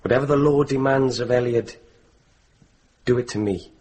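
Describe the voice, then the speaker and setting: A male actor plays a loyal, defiant young man in a medieval radio drama. The voice is husky and of middling pitch. He speaks with self-sacrificing resolve. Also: moderate pace, a little mellow, close to an everyday voice. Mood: pleading resolve.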